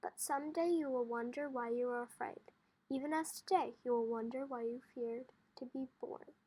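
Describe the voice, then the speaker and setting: Woman reading as a high-voiced, calm, wise farmer father. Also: normal speed, slightly rough. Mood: reassuring.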